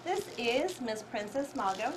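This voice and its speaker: A woman's voice: a little high, lively intonation, a little slow. A woman hosting an interview show, asking long, formal questions politely. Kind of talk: hosted interview. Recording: recording with clear background noise.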